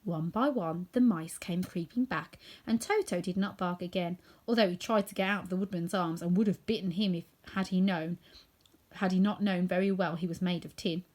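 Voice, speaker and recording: A woman's voice: fairly low pitch, moderate pace, darkish tone. A woman narrating a children's storybook as a reader.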